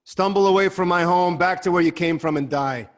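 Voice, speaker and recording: A man's voice: high voice, a little fast, close to an everyday voice. A man playing a proud, hot-tempered king. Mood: contemptuous dismissal. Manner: scornful, commanding. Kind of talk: Zoom dramatic reading of a Greek tragedy. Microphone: video call.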